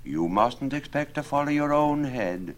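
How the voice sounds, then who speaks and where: A man's voice: medium pitch, expressive, measured pace, pitched above the performer's own voice, lightly acted. Man acting a sly, mock-solemn young scholar. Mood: persuasive. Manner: confiding, ominous, portentous. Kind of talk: dramatised verse storytelling.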